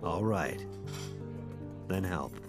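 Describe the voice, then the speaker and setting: A man's voice: sits low, expressive, very slow, over music, heavily acted. Male actor as cocksure aging detective. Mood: wary, impatient. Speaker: actor in a radio drama. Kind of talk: radio drama acting.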